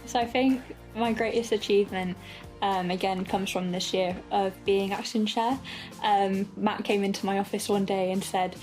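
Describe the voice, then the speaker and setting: A woman's voice: mid-range voice, steady tone, normal speed, darkish tone, faint music behind, poor audio. A woman in her twenties, a student election candidate, answering interview questions in a chatty, fond way with plenty of ums. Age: 20s.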